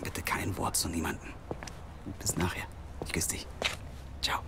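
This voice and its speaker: An actor playing a driven, persuasive investigative team leader in an audio drama, casual yet insistent. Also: male voice, deep voice, level tone, measured pace, in a whisper. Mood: confiding, affectionate. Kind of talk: naturalistic German audio drama acting. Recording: clearly noisy background.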